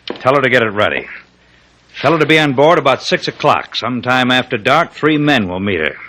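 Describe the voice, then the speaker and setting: An actor playing a hard, wily undercover agent with an American accent and a clipped, insistent delivery, as in vintage radio drama. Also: male voice, medium pitch, animated, a little fast, pushed and bright, a little hiss or hum, rough recording. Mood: firm, insistent. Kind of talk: 1940s-50s American radio drama acting.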